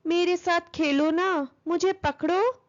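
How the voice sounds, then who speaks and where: A woman voicing a boastful, pestering little female fly in a children's story, bragging and taunting, quick to anger. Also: very high-pitched, steady tone, highs cut off, voice pushed up. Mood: playful, pestering.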